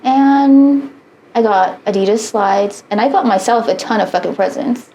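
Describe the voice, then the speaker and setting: A woman's voice: a little high, moderate pace, mellow, a little hiss or hum, rough recording. A woman in her late twenties co-hosting a podcast in casual chat, rambling and swearing freely and trailing off mid-sentence. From United States. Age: 20s. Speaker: podcast co-host.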